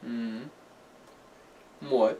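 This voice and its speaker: A man's voice: medium pitch, monotone, extremely slow, mellow, slight background noise. A man in casual gaming chat, co-commentating on a let's play.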